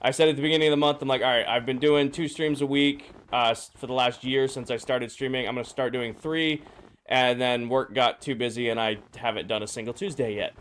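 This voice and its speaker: A man streaming a game, his voice slightly hoarse and drowsy, casually greeting viewers and answering chat questions. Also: mid-range voice, fairly even intonation, fairly quick, a bit bright, slight background noise. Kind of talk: casual live-stream chat while playing.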